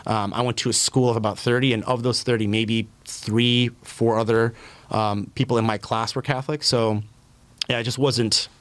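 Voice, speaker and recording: A man's voice: mid-range voice, moderate pace. A man in his thirties from Puerto Rico, a podcast guest talking casually with lots of ums and restarts. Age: 30s. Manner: relaxed, many fillers and restarts. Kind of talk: casual conversational interview.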